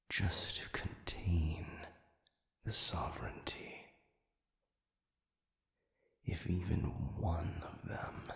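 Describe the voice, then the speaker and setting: A man playing an ancient, grave demon in a dramatic audio roleplay, speaking in a breathy half-whisper with halting, formal, solemn diction. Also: deep voice, echoey room, full character voice. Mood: grave warning.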